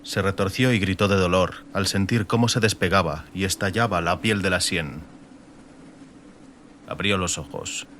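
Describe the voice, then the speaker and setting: Male narrator for a fantasy audiobook, fairly low register, dramatic scripted delivery. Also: normal speed, a bit bright, a little hiss or hum.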